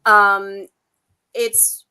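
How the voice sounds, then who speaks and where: A woman's voice: mid-range voice, flat delivery, drawn-out words, sharp tone, smooth. A woman talking casually and conversationally in a book discussion, rambling a little as she goes.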